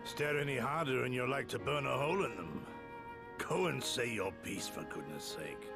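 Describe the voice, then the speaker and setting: An actor playing a gruff, loyal older samurai in a game, with a gravelly voice and forthright, archaic speech. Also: male voice, mid-range voice, lively intonation, moderate pace, over music. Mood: gruff prodding.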